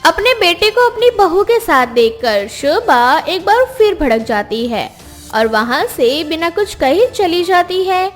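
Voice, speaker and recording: High, lively woman narrator. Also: a little slow. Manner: steady storytelling. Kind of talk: scripted story narration. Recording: music bed behind voice.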